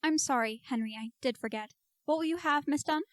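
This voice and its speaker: A woman playing an anxious, excitable scientist's daughter in an amateur radio play, theatrical and expressive. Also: sits high, brisk, dry recording, natural voice. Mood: anxious, polite.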